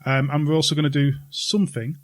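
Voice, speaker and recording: A man's voice: on the high side, level tone, fast talker. A man hosting a podcast in casual conversation, with a chummy, enthusiastic way of talking. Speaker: podcast host. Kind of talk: casual chat.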